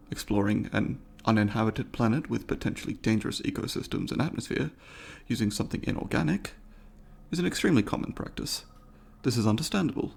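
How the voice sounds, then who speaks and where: A man voicing a curious, courteous alien researcher in an ASMR roleplay, speaking formally and deliberately, with academic wording and a gravelly voice. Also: medium pitch, darkish tone. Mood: intrigued, questioning. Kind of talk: ASMR roleplay, scripted monologue to the listener.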